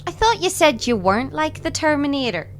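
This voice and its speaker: A young woman playing a plucky, curious student in an audio drama, with a teasing, unhurried delivery. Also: high voice, sing-song, slight background noise. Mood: cheeky, bold. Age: young adult.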